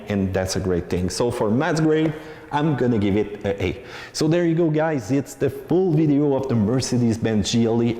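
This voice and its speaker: Guy w/ doing a casual car review, emphatic, mid pitch, really echoey reverby sound. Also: mellow. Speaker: car reviewer, channel host. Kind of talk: casual video car review, talking to viewers. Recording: echoey with long room reverb.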